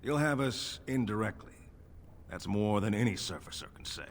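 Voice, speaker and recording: Gravelly, grim dwarf soldier played by a man. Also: on the low side, normal speed, slight background noise, natural voice. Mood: stoic, resolute. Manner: solemn, plain-spoken. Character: stoic, grim veteran dwarf legion soldier. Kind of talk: fantasy video game voice acting.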